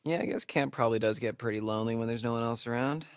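A man's voice: medium pitch, clear, resonant tone, no echo, lightly acted. Man playing a wisecracking young American guy, dry recording. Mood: joking, animated. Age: young adult. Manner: casual, sarcastic, halting asides. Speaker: video game voice actor. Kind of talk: naturalistic video game dialogue.

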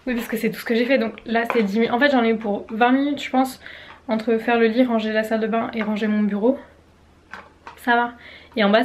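A woman's voice: a little high, a little hiss or hum. A young woman in her twenties vlogging at home with a camera held at arm's length, chatty and casual, with a slight cold. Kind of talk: casual vlog chat. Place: home bedroom indoors. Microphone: handheld camera at arm's length.

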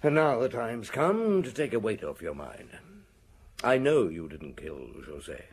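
A man's voice: medium pitch, big pitch swings, a little slow, husky. An actor in a radio drama playing a shrewd, weary, stern police chief, blunt and scornful as he interrogates. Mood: firm, explaining. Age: middle-aged.

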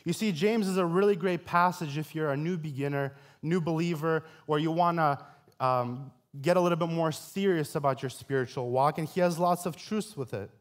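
A young man preaching a sermon in an earnest, deliberate way, addressing his listeners directly. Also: a little high. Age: young adult. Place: church stage at a pulpit, before a congregation.

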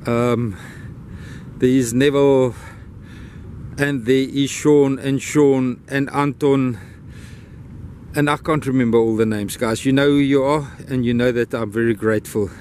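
A man's voice: mid-range voice, fairly even intonation, unhurried, a little mellow, slight background noise. Afrikaans-accented man vlogging outdoors. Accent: Afrikaans-accented English. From South Africa. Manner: rambling, grateful, talks fondly to his dog. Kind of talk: casual vlog narration while walking a dog. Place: outdoors on a beach and a wooden boardwalk trail.

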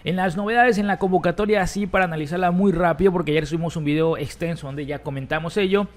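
A man hosting a football news roundup, his voice sitting a bit high. He moves briskly from item to item and reads quotes aloud. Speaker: sports news presenter.

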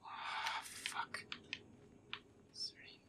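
A man giving irritable, foul-mouthed gameplay commentary in a breathy, often whispered mutter. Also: slow pace. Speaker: gamer playing a horror game in character.

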